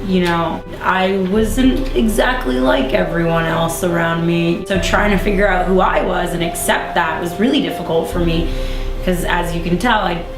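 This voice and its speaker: A woman's voice: lowish voice, normal speed. A woman of twenty-three speaking in a thoughtful, sincere way about her own life, in long flowing sentences. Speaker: interviewee. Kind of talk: casual personal testimony. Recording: echoey room with music underneath.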